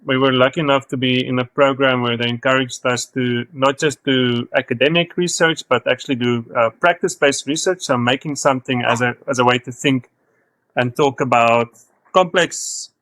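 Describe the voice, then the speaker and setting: A man's voice: medium pitch, fairly even intonation, moderate pace, fairly bright tone, no echo. A man in his thirties with a South African accent, talking as a guest in a podcast interview, hesitant, with many fillers and restarts. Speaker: guest, studio co-founder.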